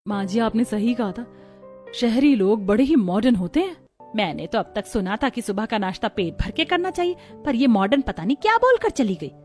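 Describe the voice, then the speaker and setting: Actress as traditional village daughter-in-law, cartoon drama, higher register, respectful and homely, soft music bed. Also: female voice, sing-song, brisk. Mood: bewildered. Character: traditional, dutiful village elder daughter-in-law, hopeful then bewildered. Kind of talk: scripted cartoon dialogue.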